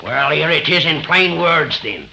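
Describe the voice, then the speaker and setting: A man's voice: fairly high pitch, lively intonation, a little slow, gravelly, sharp tone, exaggerated voice. A man playing a blunt, prejudiced frontier Westerner with folksy slang in a 1930s radio drama. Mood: blunt, warning. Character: blunt, bigoted 1850s California frontier man. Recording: noisy 1930s radio recording.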